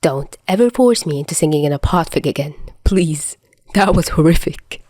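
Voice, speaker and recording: A woman narrating fan fiction as an audio reader, in an expressive storytelling style. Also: deep voice, moderate pace, no echo. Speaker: podfic narrator.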